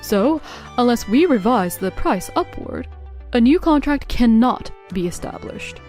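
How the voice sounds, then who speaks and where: A woman's voice: fairly high pitch, expressive, measured pace, dark tone, soft background music. A woman playing a cool, uncompromising young businesswoman in a manga dub, speaking formally and firmly. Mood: businesslike. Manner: formal, firm.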